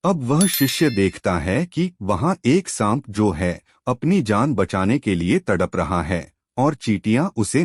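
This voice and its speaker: A man telling a moral story in an unhurried, expressive way. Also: on the low side, breathy edge, no echo, studio quality.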